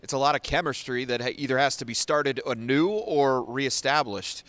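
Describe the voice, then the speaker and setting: A man's voice: medium pitch, normal speed, fairly bright tone, no echo. Male sports interviewer, close dry sound. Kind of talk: sit-down interview questioning.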